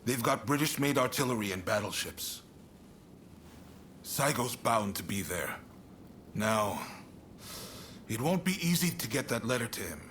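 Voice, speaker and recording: A man acting an affable, shrewd statesman in a video game cutscene, expressive and earnest but conversational. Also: mid-range voice, a little slow, pauses often, a little hiss or hum. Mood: urgent, earnest. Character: affable shogunate statesman, shrewd negotiator, warm toward his ally. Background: music.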